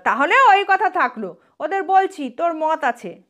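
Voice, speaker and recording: A woman's voice: sits high, expressive, a little slow, fairly bright tone, pitched above the performer's own voice. A woman voicing a pushy, fussy middle-aged mother in an audiobook, with an insistent, homely, scolding manner. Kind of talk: audiobook character voice.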